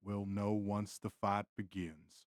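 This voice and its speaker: A man's voice: fairly low pitch, little pitch movement, slow, a little mellow, no room sound, clean studio sound, voice pushed down. A man acting a stern, terse male warrior in an audio drama, speaking curtly and matter-of-factly. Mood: grave, matter-of-fact. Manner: curt, unvarying, matter-of-fact tone.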